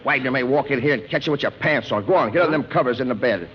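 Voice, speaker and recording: A man's voice: medium pitch, quick pace, lightly acted. A man playing a brash, bossy, broke theatrical producer full of schemes, in slangy 1940s radio comedy style. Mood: bossy, urgent. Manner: bossy, slangy, smooth-talking. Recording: dull 1940s radio recording with hiss.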